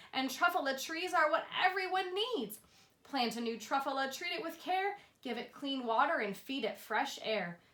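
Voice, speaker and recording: High-voiced woman reading a storybook aloud. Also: fairly bright tone, roomy sound. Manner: dramatic emphasis on rhyme. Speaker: storybook reader. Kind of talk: expressive children's read-aloud.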